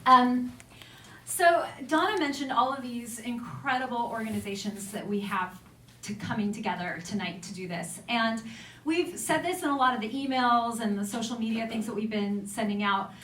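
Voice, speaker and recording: A woman with a fairly high voice is emceeing a live event. She speaks informally and effusively to the room. The sound is echoey and a little distant from the mic, with faint noise. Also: moderate pace, fairly bright tone. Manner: enthusiastic, effusive. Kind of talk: informal welcome speech to a live audience. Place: indoor event room with an audience. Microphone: stage microphone.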